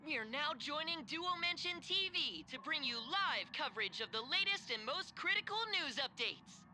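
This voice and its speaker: A very high, animated cartoon duck presents the news with peppy formality. The voice is sharply bright, with faint noise behind it. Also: female voice, moderate pace, heavily acted. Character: Poofy, chirpy cartoon duck news host. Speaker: news segment host.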